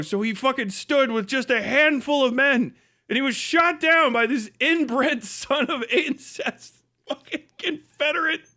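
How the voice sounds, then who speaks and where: A man with an extremely high, bright voice hosts a livestream in casual, ranting style. He swears freely and tells mocking, exaggerated stories, often laughing as he talks. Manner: profane; laughs often while talking. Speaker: livestream host. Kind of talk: casual comedic rant.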